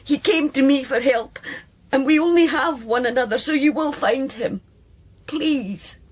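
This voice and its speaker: An actress playing a brittle, anguished sister in a radio drama, with a Scottish accent and a halting, agitated, bitter delivery. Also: female voice, sits high. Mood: pleading. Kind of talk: naturalistic radio drama acting.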